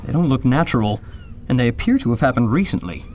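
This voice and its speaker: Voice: mid-range voice, big pitch swings, quick pace, a little mellow, a little hiss or hum, lightly acted. An actor playing a curious, analytical expedition member in an audio drama, inquisitive and scholarly.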